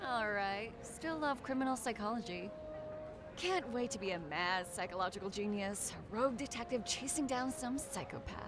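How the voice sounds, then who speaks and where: A woman's voice: mid-range voice, lively intonation, normal speed, background noise, natural voice. An actress playing a wry, playful, chatty young woman in a video game. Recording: music behind the voice.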